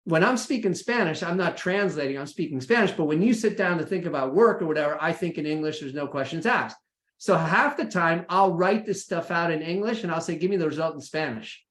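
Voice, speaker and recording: A man's voice: on the high side, lively intonation, fairly quick, a bit bright. An American man presenting an informal, practical session in a conversational way, often checking in with his listeners. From United States. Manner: conversational, checks in with "right?" and "okay?". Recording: echoey room sound.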